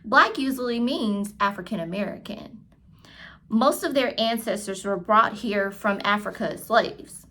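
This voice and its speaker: A woman's voice: medium pitch, a little slow, sharp tone. A woman reading the part of a serious, matter-of-fact father in a storybook.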